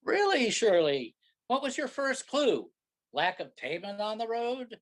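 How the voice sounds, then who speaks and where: A man's voice: very high-pitched, sing-song, a little slow, exaggerated voice. A man playing a sarcastic, grumbling, exasperated husband in a staged reading of a comic play. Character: sarcastic, exasperated husband driving lost in the country.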